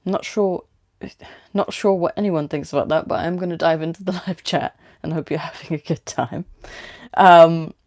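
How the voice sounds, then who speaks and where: A woman's voice: fairly low pitch, steady tone, normal speed, a little mellow. A woman with a London British accent, hosting a livestream with story reading and casual chat.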